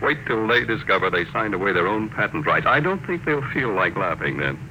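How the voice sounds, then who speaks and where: An actor playing a shrewd, cocksure space tycoon with a wry, commanding manner in an old-time radio drama. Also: male voice, fairly low pitch, sing-song, a little fast. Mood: amused, smug. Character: shrewd, brash, amused space-drive tycoon, a man. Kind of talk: 1950s radio drama acting. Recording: old radio recording with faint noise.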